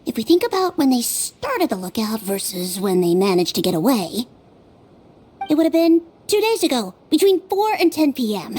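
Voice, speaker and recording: Careful non-human sleuth, high and lilting, thinks aloud step by step, faint music behind. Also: female voice, sing-song, normal speed, husky. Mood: thoughtful. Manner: methodical reasoning aloud. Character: young female Melusine detective, analytical, gentle. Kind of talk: video game voice acting. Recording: game audio with soft music.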